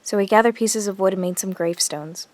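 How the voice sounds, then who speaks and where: A woman's voice: mid-range voice, brisk, no room sound, close to an everyday voice. An actress playing an earnest teenage girl in a zombie audio drama, confiding and reflective as she narrates her diary. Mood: grieving, reflective. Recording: music under the voice. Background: music.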